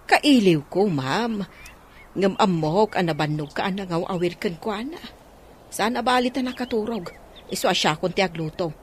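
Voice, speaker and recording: A woman's voice: medium pitch, moderate pace, slight background noise, close to an everyday voice. A woman with a Filipino accent playing an eager, deferential household helper in a radio drama. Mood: eager, reassuring.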